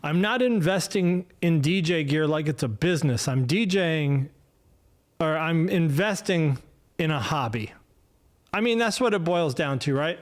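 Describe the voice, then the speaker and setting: A man in his fifties hosts a loose solo livestream, slow and unhurried, his voice sitting a little high for a man. He rambles, restarts his sentences and pokes fun at himself. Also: animated. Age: 50s. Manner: rambling, self-deprecating, many fillers and restarts. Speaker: podcast host. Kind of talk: casual solo livestream chat. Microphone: desk mic, no headphones.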